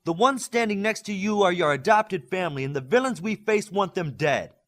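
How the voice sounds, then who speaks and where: A man voicing a proud, fierce Greek warrior superhero and passionate leader in an audiobook, speaking with forceful, impassioned oratory. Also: high-pitched, lively intonation, a little fast, voice pushed up. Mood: fierce, rallying. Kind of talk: audiobook character acting.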